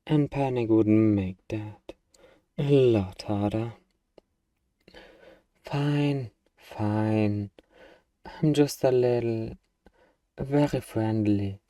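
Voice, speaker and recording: An eager, harmless little demon, played by a man speaks in a very dark, relaxed voice, close and dry. The delivery is slow and coaxing, full of hesitant hums and playful politeness, in the style of a comedic ASMR roleplay. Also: lowish voice, no room sound. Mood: nervously reassuring. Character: eager, friendly, bumbling little demon named Amber.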